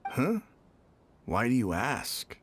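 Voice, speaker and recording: Lively, defensive gatekeeper townsman. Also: medium pitch, slow pace, slight background noise. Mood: offhand, chatty. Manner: offhand, defensive. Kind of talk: video game dialogue.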